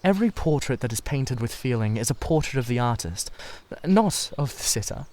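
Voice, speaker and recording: A man voicing a sensitive, secretive young painter in a dramatised audiobook, earnest and halting as he confides. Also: medium pitch, animated, a little mellow, lightly acted. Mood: earnest, uneasy.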